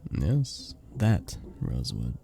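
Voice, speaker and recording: Man acting a dark, velvety, gentle vampire bartender. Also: extremely low pitch, wildly swooping pitch, slow, vocal fry, a little hiss or hum, exaggerated voice. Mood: wistful, hesitant. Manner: gentle, polite, slightly formal, bashful chuckles. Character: charming, sweet, modest young-looking male vampire bartender. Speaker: voice actor in an audio roleplay. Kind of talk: soft-spoken ASMR roleplay acting.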